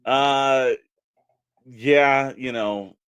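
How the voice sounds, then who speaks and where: A man's voice: medium pitch, steady tone, drawn-out words, a bit bright, smooth, no echo. A man co-hosting a podcast, chatting informally and giving advice in a rambling, conversational way. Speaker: podcast co-host. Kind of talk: casual podcast chat.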